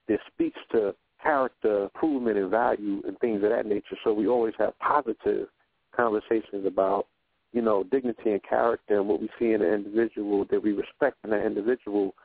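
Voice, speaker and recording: A man talking in a relaxed, reflective way on a radio roundtable, often stopping to ask "you know what I'm saying". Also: on the low side, no echo. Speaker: radio show guest. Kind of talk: casual roundtable talk. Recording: phone-like narrow line.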